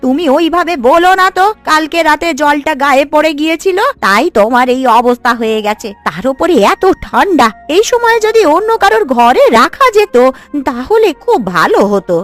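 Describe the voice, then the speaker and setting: A woman voicing an expressive, fretful village housewife in a cartoon, with a gossipy, nagging way of talking. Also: high voice, normal speed, soft background music. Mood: worried. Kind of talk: cartoon voice acting.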